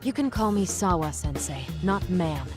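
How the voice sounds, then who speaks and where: A woman's voice: fairly low pitch, expressive, normal speed, over music, close to an everyday voice. A woman playing a stern, suspicious schoolteacher in a video game, formal and pointed in manner. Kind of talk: video game cutscene dialogue.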